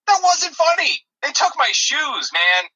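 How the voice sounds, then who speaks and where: A man co-hosting a comedy podcast, improvising banter in a defensive, halting, aggrieved way. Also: very high voice, sing-song, bright, forceful tone, highs cut off.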